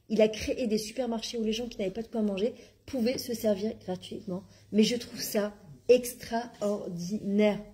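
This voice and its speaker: Woman, religious class, emphatic and exclaiming, higher voice, mellow tone. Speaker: religious teacher.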